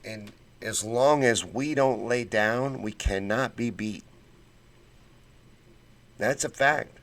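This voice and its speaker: Fired-up low-voiced man ranting on a livestream. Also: slow. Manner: blunt and fired-up, folksy slang. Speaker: political livestream host. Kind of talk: casual livestream commentary reading chat.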